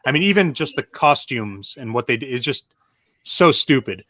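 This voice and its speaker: A man giving opinions as a show panelist, griping emphatically in casual, halting speech. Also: mid-range voice, lively intonation, fairly bright tone, no room sound. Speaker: panelist on a video game movie show.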